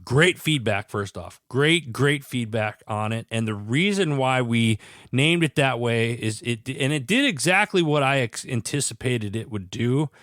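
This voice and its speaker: A man's voice: mid-range voice, lively intonation, unhurried, a bit bright, dry recording. A male podcast host with a stuffy head cold, talking in an earnest, rambling way and often restarting his sentences. His voice is very dry, with no room sound at all. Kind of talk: casual podcast chat.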